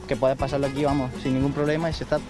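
A man's voice: mid-range voice, flat delivery, racing, music in the background. A teenage young man interviewed outdoors, talking casually and enthusiastically about the place. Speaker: local interviewee.

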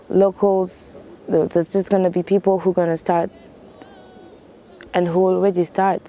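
Young woman talking slow and mellow outside, faint noise behind, thinks as she goes. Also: on the low side. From France. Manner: thoughtful, searching for words. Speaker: interviewee. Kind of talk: reflective personal testimony. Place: outdoors in a canoe on a lake.

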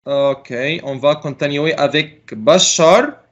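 A man's voice: fairly high pitch, slow, dull audio. A middle-aged man teaching a French class over a video call, calling on students and patiently correcting their answers. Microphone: laptop mic on a video call.

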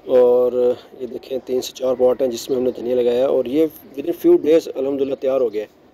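A middle-aged man giving a relaxed, chatty tour of his rooftop garden, mixing English words into his speech, recorded outdoors on a phone. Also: medium pitch, brisk, slight background noise, poor audio. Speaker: gardening vlogger. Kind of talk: casual show-and-tell narration.

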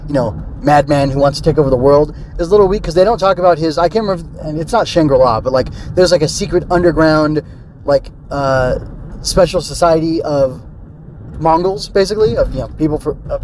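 A man's voice: on the high side, brisk, a little mellow, a little hiss or hum. A man hosting a casual solo review podcast, rambling and thinking aloud with tentative digressions. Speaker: podcast host and film reviewer.

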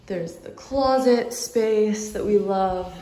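A woman's voice: medium pitch, slow, mellow, a little hiss or hum. A young woman vlogger chatting casually while giving a walkthrough tour of an empty house. She films on a phone held at arm's length and talks in a bubbly way, full of "like". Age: young adult. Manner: chatty, bubbly, heavy use of "like".

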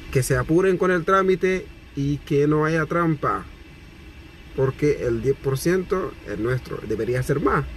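Man in his 30s, fired-up phone rant from a parked car, slightly high voice, faint traffic behind. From Haiti. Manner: animated, gesturing, repetitive. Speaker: vlogger. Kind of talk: indignant complaint to camera. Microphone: phone camera at arm's length.

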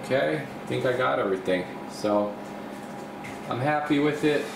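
Man, creaky fry, casual hands-on presenter, off-the-cuff rambling, echoey small workshop, camera mic, noticeable background hum. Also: medium pitch, moderate pace. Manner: rambling, thinks aloud. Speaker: video host, radio repair technician. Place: small indoor home workshop. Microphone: camera mic, speaker behind camera. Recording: roomy, steady air-conditioner-like hum.

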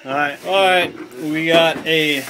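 A man narrating a catch in an ice fishing shack, eager and coaching, with excited whoops. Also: fairly high pitch, slow pace, music in the background, rough recording. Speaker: friend on a fishing trip. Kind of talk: casual play-by-play.

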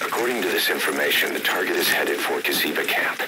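A man playing a gruff military support officer in a video game, giving terse, businesslike orders over the radio. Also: extremely low pitch, expressive, fast talker. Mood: terse, commanding. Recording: radio voice under loud vehicle noise.

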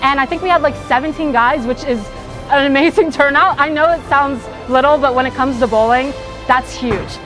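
A woman's voice: high-pitched, moderate pace, a bit bright, poor audio. A teenage girl talking casually about bowling in an upbeat, chatty way with lots of fillers, interviewed in a bowling alley. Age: teens. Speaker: high school bowler. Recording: music playing underneath.

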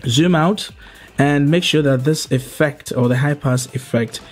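A young adult man narrating an explanatory tutorial as its host, going through it step by step in a measured way. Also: a little high. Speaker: host of a video editing tutorial. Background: background music.

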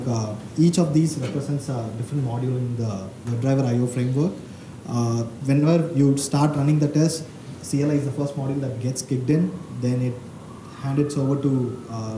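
A man's voice: medium pitch, warm tone. Casting: hesitant man in his thirties explaining code at a meetup, echoey room, audible background noise. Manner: hesitant, many uhs and likes. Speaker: conference speaker. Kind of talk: technical meetup talk. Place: meeting room with audience. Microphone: handheld mic. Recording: roomy hall with background noise.

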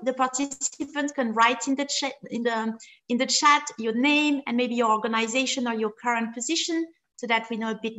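A woman's voice: high voice, a bit bright. A woman in her forties from France and Switzerland with a French accent, giving a friendly, self-deprecating webinar lecture over a video call. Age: 40s. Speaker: guest lecturer. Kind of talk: webinar presentation.

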